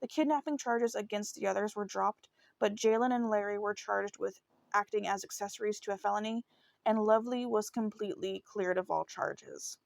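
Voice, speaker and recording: A woman's voice: medium pitch, normal speed, gravelly, no echo. A woman hosting a true crime show, telling a case in a chatty, informal, conversational way. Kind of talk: casual true crime narration.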